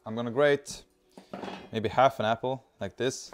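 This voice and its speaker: A man's voice: medium pitch, fairly even intonation, measured pace. Cheerful Swedish-accented man cooking. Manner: chatty, cheerful, talks while working with food. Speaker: cooking video host. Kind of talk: casual cooking demonstration. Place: home kitchen with open garden door. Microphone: lapel mic.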